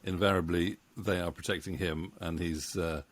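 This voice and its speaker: Hesitant, low-voiced man. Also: fairly even intonation, moderate pace. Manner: hesitant, rambling, chuckling. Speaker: interview host and co-writer. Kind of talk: casual video-call interview. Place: book-lined home room. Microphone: studio microphone on boom arm, close.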